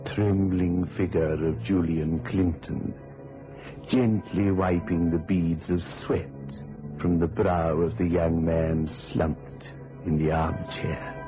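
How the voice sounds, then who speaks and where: Deep, slow radio thriller narrator. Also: male voice, little pitch movement, over music. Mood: grave, suspenseful. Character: grave male storyteller of a radio thriller. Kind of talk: scripted old radio drama narration. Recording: muffled old radio recording.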